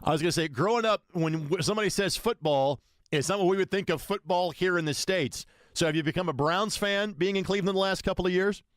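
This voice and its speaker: A man's voice: sits high, fast talker, dry recording, studio quality. A man hosting a sports radio show, interviewing a guest in a conversational way.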